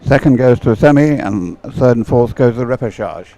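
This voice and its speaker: A man's voice: mid-range voice, lively intonation, brisk, dark tone. A man calling a live race in short, informal phrases from an outdoor sporting venue. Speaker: race commentator.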